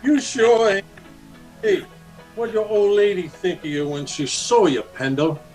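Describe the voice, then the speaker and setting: A menacing American cowboy bully from a radio Western , acted by a man. He taunts in a slow, mocking drawl, pitched a little high, over faint music. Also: darkish tone. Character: menacing cowboy bully, sneering ex-Confederate guerrilla. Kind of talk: old-time radio Western acting.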